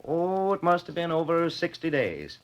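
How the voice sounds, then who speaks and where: Animated, obliging radio-drama official. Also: male voice, a little high, normal speed. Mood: helpful. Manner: hesitant, helpful. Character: obliging, hesitant male official answering questions. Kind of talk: 1940s radio drama acting.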